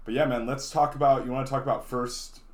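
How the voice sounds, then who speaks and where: A man in his early twenties hosting a casual interview podcast, relaxed and jokey in manner. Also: mid-range voice, fast talker. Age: 20s. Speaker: podcast host. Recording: roomy echo.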